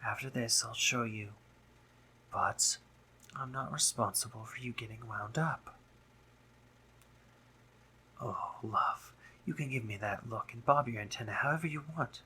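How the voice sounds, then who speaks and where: A man playing a brooding, sardonic drider monster, a guarded but caring doctor, in an intimate roleplay audio drama, speaking formally with halting pauses. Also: mid-range voice, bright, forceful tone, a little hiss or hum, low-quality recording. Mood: teasing, reluctant.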